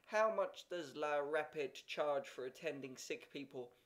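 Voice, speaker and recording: A man voicing a tall, thin, stingy peasant who hesitates and pleads, in a voice raised well above his usual pitch. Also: measured pace, fairly bright tone. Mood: hesitant.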